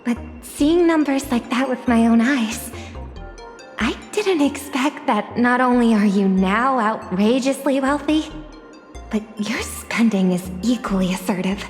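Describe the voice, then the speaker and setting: Woman playing a calm thoughtful young game heroine, slightly higher voice, long reverb, soft music behind. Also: a little slow, natural voice. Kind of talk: video game cutscene voice acting.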